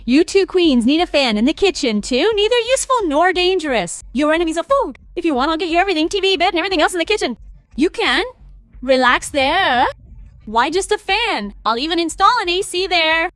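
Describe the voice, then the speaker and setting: A woman's voice: very high-pitched, expressive, fairly quick, fairly bright tone, dry recording, clean studio sound. A woman playing a scornful, sarcastic older mother-in-law who talks dismissively in a cartoon family story. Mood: mocking. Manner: mocking, dismissive.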